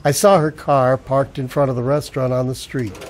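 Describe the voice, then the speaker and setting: A man's voice: mid-range voice, steady tone, a little fast, lightly acted. An actor playing a haunted married man, narrating in a terse, brooding radio-play noir style. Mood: tense, brooding.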